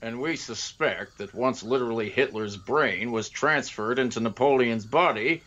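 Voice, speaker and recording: A man playing a self-important, gruffly polite time-travel agent in a comedic audio drama. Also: mid-range voice, moderate pace, sharp tone, muffled recording. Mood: earnest, official.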